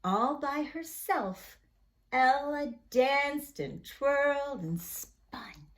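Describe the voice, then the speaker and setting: Slow, high-voiced woman storyteller. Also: animated. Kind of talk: expressive children's storybook narration.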